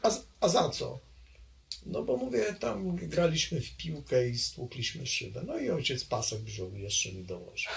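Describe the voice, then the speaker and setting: An older man from Poland recalling his childhood in an oral history interview, hesitant and halting, with many fillers. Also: on the high side, sing-song, vocal fry.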